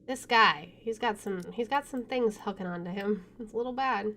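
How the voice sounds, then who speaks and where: A woman's voice: mid-range voice, moderate pace, bright, forceful tone, a little hiss or hum. A young woman hosting a game channel, reading a visual novel aloud and breaking into jumpy, chatty reactions. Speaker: gaming channel host.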